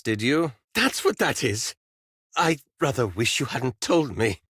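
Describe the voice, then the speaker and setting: A man's voice: mid-range voice, no room sound, natural voice. A man playing a cautious, bookish wizard companion in a fantasy video game, speaking formally and politely with dry, fussy reproach. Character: refined, bookish, cautious male wizard companion, easily exasperated.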